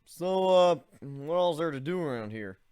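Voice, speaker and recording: A man's voice: fairly high pitch, animated, measured pace, darkish tone, clean tone, dry recording, studio quality, pitched above the performer's own voice. A man voicing a socially awkward, nervous young human man, speaking hesitantly.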